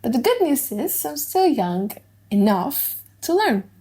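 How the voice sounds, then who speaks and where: A woman's voice: high-pitched, very animated, unhurried, mellow, breathy edge, exaggerated voice. A woman playing a devoted young noble heiress in a dramatized audiobook, speaking in earnest, formal declarations. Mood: humble. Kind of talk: dramatized audiobook of a classic play.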